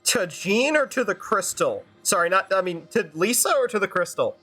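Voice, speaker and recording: A woman's voice: medium pitch, big pitch swings, moderate pace, fairly bright tone, slightly rough, faint music behind. A woman streaming a game with improvised in-character banter, dry and sarcastic.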